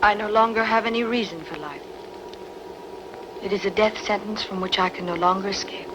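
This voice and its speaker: A woman's voice: medium pitch, brisk, background noise, close to an everyday voice. A woman playing an imperious, ruthless queen in a film dub, with a commanding regal delivery. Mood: anguished longing. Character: imperious, ruthless queen pining for lost lover.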